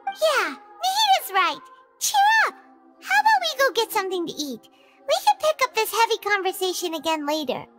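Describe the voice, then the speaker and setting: A woman playing a tiny, perky, childlike fairy companion in a video game, with a squeaky manner. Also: very animated, normal speed, over loud music. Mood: cheerful. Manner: squeaky, refers to herself in third person. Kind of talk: scripted video game dialogue.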